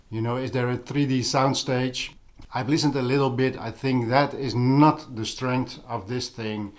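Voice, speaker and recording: A man talks as a vlog host in a casual, explanatory way. His delivery is very unhurried, with gaps inside sentences. The pitch sits in an ordinary middle range for a man. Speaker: vlog host reviewing hi-fi audio gear. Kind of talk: casual informal product talk to camera.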